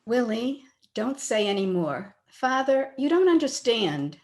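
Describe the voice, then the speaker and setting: A woman's voice: a little high, sing-song, unhurried, darkish tone. A woman playing a sweet, earnest young daughter in love in an old-time radio drama. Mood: pleading. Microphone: video call.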